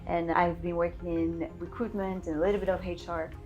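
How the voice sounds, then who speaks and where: A woman's voice: fairly low pitch, a little fast, lo-fi sound, music in the background. A woman in her thirties from Belgium, a recruiter talking casually as an interview guest. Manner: relaxed, conversational, slight restarts.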